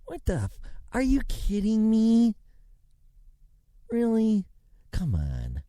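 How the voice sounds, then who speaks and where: A man hosts a show with a rambling, sarcastic rant, dropping to mutters and then flaring into outbursts. His pitch leaps around a great deal. The sound is dry and close. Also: huge pitch swings, slow, mellow, dry recording. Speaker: show host. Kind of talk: ranting commentary and news reading.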